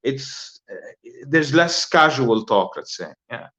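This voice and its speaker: A man in his thirties from Lebanon, a guest talking casually in an interview, relaxed and hesitant with many fillers and repeated words. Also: medium pitch, slow pace. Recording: slightly dull lo-fi audio.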